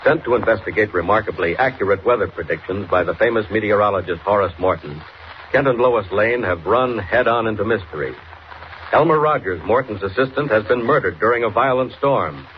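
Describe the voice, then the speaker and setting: Serial narrator, man, declamatory 1940s delivery in a lowish voice, dull vintage sound. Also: animated, fairly quick. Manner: dramatic, declamatory. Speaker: announcer and narrator of a radio serial. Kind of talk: 1940s radio scripted narration. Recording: muffled, noisy vintage radio recording.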